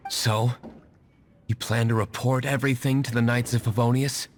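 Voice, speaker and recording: Game dub: actor as a curt, aloof young tavern owner, dry delivery. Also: male voice, medium pitch, flat delivery, normal speed, a little hiss or hum, lightly acted. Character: stern, reserved young male tavern owner. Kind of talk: video game dub acting.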